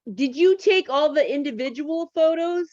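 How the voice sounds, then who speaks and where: A woman's voice: high voice, expressive, moderate pace, a little mellow. A woman hosting a club meeting on a video call, chatty and playful. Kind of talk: casual chat. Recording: dull video call audio.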